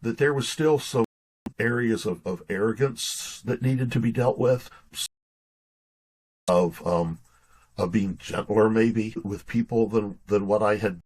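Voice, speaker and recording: A man speaking as an interview guest in a casual, reflective and halting conversational way. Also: fairly low pitch, darkish tone, breathy edge. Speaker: guest author being interviewed.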